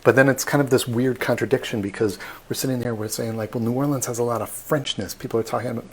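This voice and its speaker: A man working as a tour guide, giving a chatty, animated talk during a live online tour. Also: medium pitch, fast, husky.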